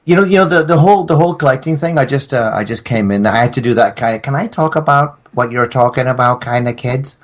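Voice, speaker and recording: A man's voice: medium pitch, fast talker, hardly any pauses. A middle-aged man chatting casually on a podcast, joking with mock-formal asides.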